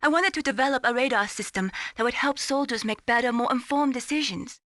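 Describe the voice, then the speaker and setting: An actress playing an earnest, gently teasing young female radar technician in a video game. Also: fairly high pitch, brisk, no echo, clean studio sound. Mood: candid. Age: young adult. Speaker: mission support operator. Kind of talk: scripted video game voice acting.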